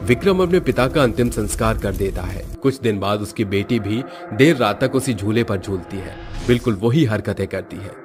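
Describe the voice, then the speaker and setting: A man's voice: on the low side, expressive, moderate pace, few pauses, music in the background. A man narrating a scripted horror story.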